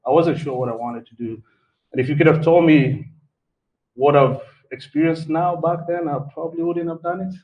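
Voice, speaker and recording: A man from Kenya speaks at a talk, telling his own story at a relaxed pace, with many fillers and words said twice. His voice sits at an ordinary pitch and sounds set back from the mic. A faint hiss runs under it. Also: dull audio. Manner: relaxed, halting with fillers and repeats. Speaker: guest speaker, entrepreneur. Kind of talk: personal story at a live talk. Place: event hall before an audience. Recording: distant mic with faint background noise.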